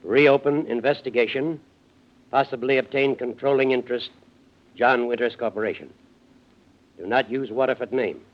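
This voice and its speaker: An actor playing a curt, commanding, scheming rich patriarch in a 1940s radio drama. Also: male voice, mid-range voice, normal speed, pauses often, close to an everyday voice. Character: domineering, scheming rich father and business tycoon. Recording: muffled vintage radio recording.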